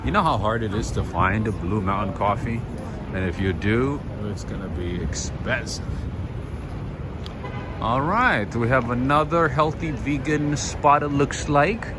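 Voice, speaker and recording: A man hosting a live street walk, chatting in an unhurried, relaxed way and answering viewers' comments. His voice sits at an ordinary middle pitch. Loud outdoor city noise runs under everything he says. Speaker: livestream walking tour host. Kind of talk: casual live commentary reading chat.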